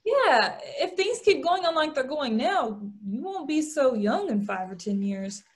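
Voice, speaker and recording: Lady playing brassy showgirl pal in an old play, high voice, slangy, coaxing, kinda blunt. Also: very animated, unhurried. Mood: needling warning. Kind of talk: virtual stage play table read.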